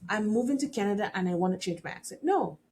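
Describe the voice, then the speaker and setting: A woman's voice: mid-range voice, lively intonation, fast talker, mellow. A middle-aged woman from Jamaica, with a light Jamaican accent, hosting a faith-based podcast. She talks earnestly and often repeats words while she searches for the next thought. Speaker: podcast host. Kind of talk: faith-based reflective monologue.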